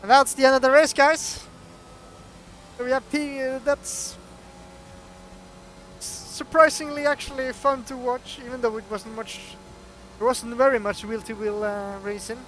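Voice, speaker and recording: High-voiced Norwegian man commentating a race. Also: measured pace, pauses often. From Norway. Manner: chatty, excitable, laughs often. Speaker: sim racing commentator.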